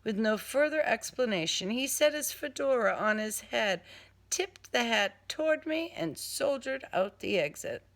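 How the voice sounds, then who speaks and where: A woman's voice: a little high, expressive, a little slow, gravelly, a bit bright. A woman author reading her own first-person crime novel as scripted audiobook narration.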